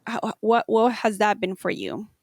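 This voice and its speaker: A woman's voice: mid-range voice, expressive, a little slow, no echo, studio quality. A woman hosting a podcast, asking hesitant interview questions with fillers. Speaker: podcast host.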